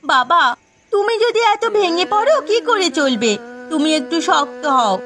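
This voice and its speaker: A woman's voice: very high voice, very animated, measured pace, fairly bright tone, slight background noise, full character voice. A woman playing a dutiful, caring young village daughter in a cartoon, earnest and resolute in a melodramatic style. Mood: pleading. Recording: music behind the voice.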